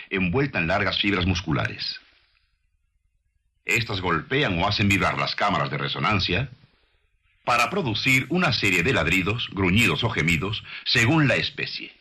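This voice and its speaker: A man's voice: fairly low pitch, big pitch swings, normal speed, pushed and bright, husky. A man delivering scripted nature documentary narration in a didactic manner, addressing the listener as a teacher would. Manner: didactic, rhetorical questions to the listener.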